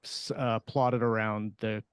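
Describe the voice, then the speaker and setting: Clear-voiced man, close and dry, thinking aloud. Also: on the low side, level tone, slow, dry recording. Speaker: podcast co-host. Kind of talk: casual panel discussion.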